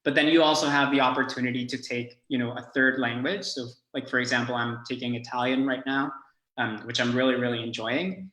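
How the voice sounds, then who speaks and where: A man's voice: mid-range voice, fairly even intonation, brisk, pushed and bright. Easygoing young man from Mexico. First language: Spanish. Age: young adult. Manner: easygoing, frequent "you know". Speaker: student host. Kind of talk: casual Q&A, reading questions. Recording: echoey room.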